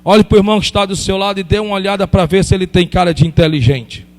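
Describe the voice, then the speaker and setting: A man's voice: high-pitched, steady tone, rapid-fire. A pastor in his fifties preaching a sermon, emphatic and repetitive, calling out to his congregation. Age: 50s. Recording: long hall reverb.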